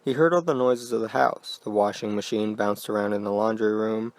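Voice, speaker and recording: A man narrating a scripted horror story in a quiet, deliberate manner. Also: lowish voice, steady tone, dry recording.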